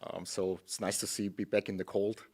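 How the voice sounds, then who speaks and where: A middle-aged man from Austria with an Austrian accent, a studio guest answering questions politely and a bit haltingly. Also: fairly low pitch, flat delivery, darkish tone. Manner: polite, measured, slightly halting. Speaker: guest, hotel general manager. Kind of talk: interview answers.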